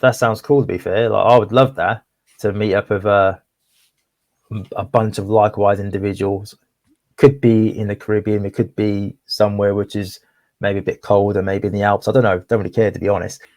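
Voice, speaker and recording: A man's voice: fairly low pitch, level tone, a little fast, a little mellow, no room sound. A young man from Wales, a property investor, talking candidly and at length in an interview, often repeating words. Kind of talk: casual interview conversation.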